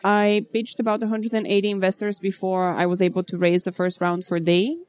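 A woman from Bulgaria in her thirties, a startup founder answering interview questions in a measured, businesslike way. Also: medium pitch, flat delivery, darkish tone, smooth.

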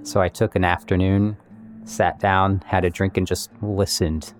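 A man with a low-pitched voice narrates a podcast as a composer. He explains things in a conversational, scripted way. Faint plucked guitar music plays underneath his voice. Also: flat delivery, measured pace. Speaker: composer and musician presenting own work. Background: guitar and plucked string music.

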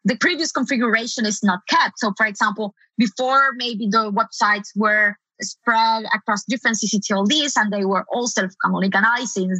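Bright-voiced woman with a Spanish accent. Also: a little high, no room sound, low-quality recording. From Latin America. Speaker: guest SEO consultant. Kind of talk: conversational interview answers.

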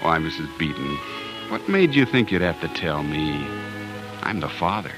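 An actor playing a determined young homesteader husband, earnest and plain-spoken in 1940s radio drama style. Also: male voice, very deep voice, sing-song, moderate pace, over music, heavily acted, poor audio. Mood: affectionate.

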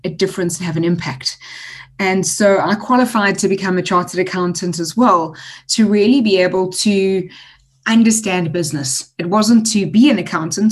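A woman in her forties, a guest author answering interview questions in an articulate, measured way with frequent ums. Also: on the low side. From South Africa.

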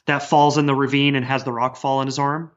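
A man's voice: medium pitch, little pitch movement, fairly quick. A man speaking earnestly and discursively as a guest author in a casual podcast conversation. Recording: dull, lo-fi sound.

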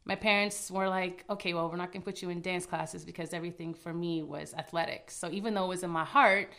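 A woman's voice: on the low side, fairly even intonation, quick pace, gravelly, fairly bright tone. A woman from Canada, a guest choreographer, telling her story in a giggly, nervous, chatty way. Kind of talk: casual interview storytelling.

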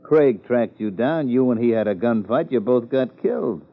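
An actor playing a smug, mockingly polite crime boss in a 1950s radio drama, with a sardonic manner. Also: male voice, medium pitch, expressive, normal speed. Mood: gloating. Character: smug, smooth-talking male murderous crime boss. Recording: muffled old radio broadcast.

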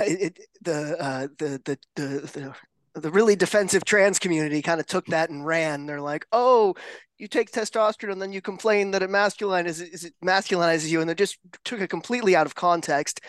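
A man's voice: a little high, fairly bright tone, no room sound. A man hosting a casual interview who asks loose questions, with fillers and repeated words. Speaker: interviewer host.

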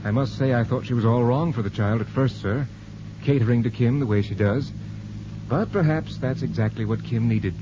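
An actor playing a formal, deferential, loyal butler in a 1950s radio drama. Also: male voice, mid-range voice, normal speed, natural voice. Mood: confiding. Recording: noisy muffled 1950s radio recording.

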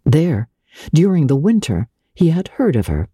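Husky, expressive woman narrator. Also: low voice, no echo. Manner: measured, expressive storytelling. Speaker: audiobook narrator. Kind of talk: dramatic scripted literary narration.

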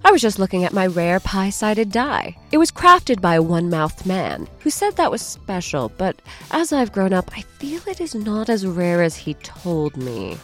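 Casting: female comic podcast host, theatrical, hugely swinging pitch, bright voice, awkward asides. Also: sing-song, moderate pace, few pauses, soft background music. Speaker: podcast presenter. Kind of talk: comic scripted monologue.

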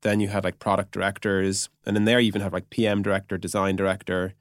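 Guy guest on a podcast, kinda low voice, talks quick and informal, explaining stuff, very dry audio. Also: little pitch movement, no room sound. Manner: informal, peppers speech with "like" and "you know". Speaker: podcast guest, product executive. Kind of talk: casual explanatory chat.

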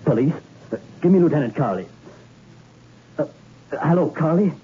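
A man's voice: fairly high pitch, expressive, normal speed, lightly acted. An actor playing a troubled, tough-talking man in a 1960s radio suspense play, hesitant and stammering, with hard-boiled slang. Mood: uneasy. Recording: muffled, noisy old radio recording.

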